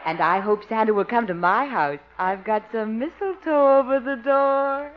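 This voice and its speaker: Woman acting sugary flirt, very high cooing voice, vintage radio comedy, muffled sound. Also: normal speed, natural voice. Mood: flirtatious. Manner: cooing, gushing. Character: gushing, flirtatious sweetheart, coy and sugary adult woman. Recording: muffled old radio recording, faint noise.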